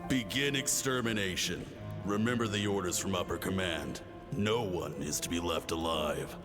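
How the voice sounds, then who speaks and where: A man's voice: sits low, moderate pace, lightly acted. A man playing a cold, cruel game commander. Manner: cold, menacing. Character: cruel male commander ordering a massacre. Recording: game audio with reverb and music.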